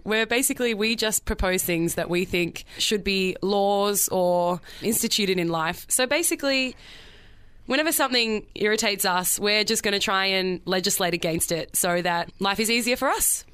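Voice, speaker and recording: A woman's voice: medium pitch, normal speed, fairly bright tone, clear, resonant tone. A woman co-hosting a radio show, chatting casually and playfully in a rambling, self-mocking way. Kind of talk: casual bantering chat.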